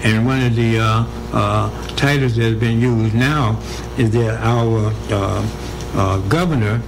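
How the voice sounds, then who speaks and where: A man speaking as a guest on a radio interview, halting and repeating himself, with many fillers. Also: fairly low pitch, vocal fry, noisy background.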